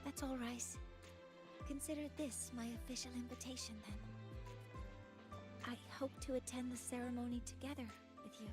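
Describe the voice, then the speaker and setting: A devoted young researcher from a video game, acted by a woman, high-voiced and earnest. She speaks gently and formally, with hesitant pauses. Music is clearly heard behind her. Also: fairly even intonation, natural voice. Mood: earnest, tender. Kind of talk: dramatic game voice acting.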